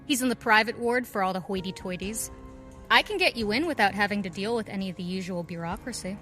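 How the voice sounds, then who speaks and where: An actress playing a sharp, frank nurse in an audio drama, with a brisk way of talking. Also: female voice, medium pitch, faint music behind, close to an everyday voice. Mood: urgent, frank. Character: sharp, plain-spoken adult female nurse and ally.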